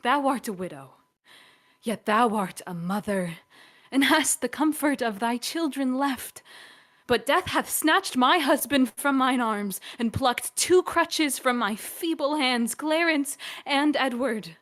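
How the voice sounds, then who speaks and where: A woman's voice: high voice, slow, fairly bright tone. A woman playing a dignified, bitter old royal grandmother in a Shakespearean radio play, speaking formal declamatory verse. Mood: bitter grief.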